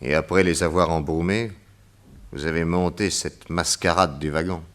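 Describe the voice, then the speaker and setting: A man's voice: low voice, fairly even intonation, normal speed, fairly bright tone. An actor voicing a composed, firm male detective who questions tersely in a radio drama. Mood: grim.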